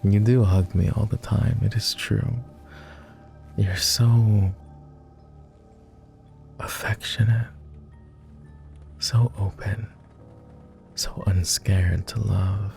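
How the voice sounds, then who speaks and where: A man's voice: fairly low pitch, steady tone, very slow, creaky, pauses often, darkish tone, faint music behind, heavily acted. A man playing a clingy, lovestruck and melancholy vampire lord in an intimate boyfriend roleplay ASMR, speaking tenderly in formal, old-fashioned, hesitant phrases. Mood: fond, teasing.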